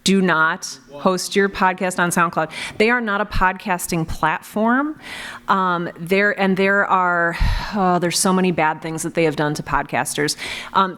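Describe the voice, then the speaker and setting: Woman on a convention panel handing out practical tips, slightly low voice, really emphatic. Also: fairly bright tone. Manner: emphatic, repeats warnings. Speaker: panelist and podcast creator. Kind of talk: unscripted panel talk giving practical advice.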